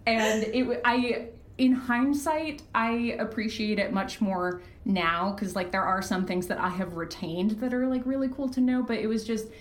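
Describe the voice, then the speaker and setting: A woman chatting casually as an interview guest, animated and self-deprecating. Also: a little high, moderate pace. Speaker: podcast guest, fiction podcast creator and host. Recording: echoey room, faint background noise.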